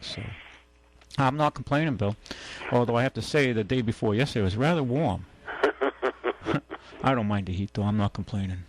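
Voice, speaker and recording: A middle-aged man hosting a casual call-in radio show, talking in a relaxed, rambling way with many fillers. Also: mid-range voice, normal speed, long pauses, slight background noise, rough recording. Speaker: radio talk show host, car dealer.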